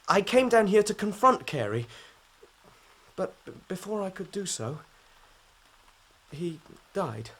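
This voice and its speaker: An actor playing a distraught young man under suspicion in a radio drama, halting, anxious and pleading. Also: high-pitched, big pitch swings, a bit bright, breathy edge, heavily acted. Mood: nervous.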